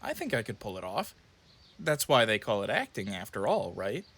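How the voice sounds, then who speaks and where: A man playing a soft, eager teenage boy in an audio drama, with a mild, hesitant, conciliatory way of talking. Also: on the low side, big pitch swings, moderate pace, no room sound, exaggerated voice. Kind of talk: audio drama acting and narration.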